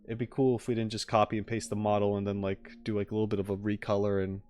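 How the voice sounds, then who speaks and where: A man's voice: lowish voice, level tone, quick pace, a little mellow, soft background music. A man chatting casually on a livestream as the streamer, in an informal, rambling way with joking asides. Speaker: live streamer reacting and chatting with viewers.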